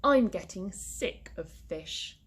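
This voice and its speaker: A woman's voice: mid-range voice, animated, takes it slowly, fairly bright tone, husky, exaggerated voice. A woman voicing a grumpy, hungry male troll in a children's storybook, roaring and grumbling. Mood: grumpy, menacing.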